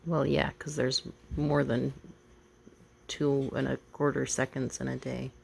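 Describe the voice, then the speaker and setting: A woman's voice: a bass voice, level tone, unhurried, vocal fry, darkish tone, slight background noise, low-quality recording. A woman speaking casually as she prompts and helps a young reader. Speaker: adult helping a young reader.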